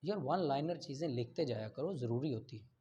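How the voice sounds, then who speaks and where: A man's voice: mid-range voice, animated, fairly quick, warm tone, a little hiss or hum. A Pakistani man giving a classroom law lecture, explaining didactically and often checking that students follow. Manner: didactic, checks understanding often, switches between Urdu and English. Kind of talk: classroom lecture, reading statutes aloud and explaining them. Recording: dull, lo-fi lecture recording.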